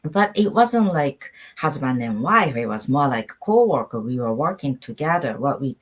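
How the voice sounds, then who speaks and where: A middle-aged woman from Japan speaks English as an in a low-pitched voice. She is an interview guest sharing her own story in a conversational way, repeating words for emphasis. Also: sing-song, normal speed, husky. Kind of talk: personal storytelling in an online interview.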